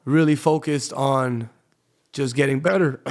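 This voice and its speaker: A man's voice: mid-range voice, slow pace, creaky. A man from Tampa, a DJ, telling his story in a laid-back, casual way as a podcast guest, often saying "you know". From Tampa, Florida.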